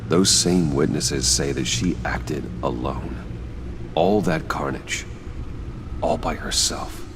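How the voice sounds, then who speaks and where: Super deep american vigilante detective, brooding and terse, game dialogue, rumbly ambience behind. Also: male voice, slow, background noise. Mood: analytical, grave. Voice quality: very low, weighty voice. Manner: terse, grave, controlled. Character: brooding, determined adult male vigilante detective. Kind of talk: video game voice acting.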